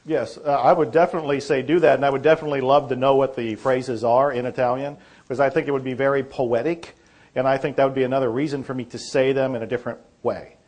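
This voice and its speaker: Guy presenting at a seminar, normal pitched voice, chatting through answers, polite, tells anecdotes. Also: steady tone, quick pace, darkish tone. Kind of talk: informal question-and-answer talk. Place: seminar room.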